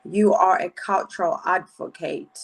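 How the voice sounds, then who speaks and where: Woman, radio host, lowish voice, emphatic questions. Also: unhurried, vocal fry, low-quality recording. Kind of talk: radio interview hosting.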